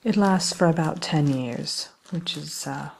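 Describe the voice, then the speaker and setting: Woman acting as a wicked queen shopkeeper, low hushed voice, crackly fry, slow and genteel, sly menace, ASMR role play. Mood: sly, confiding. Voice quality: soft, breathy, often whispered. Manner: unhurried, genteel, slyly menacing.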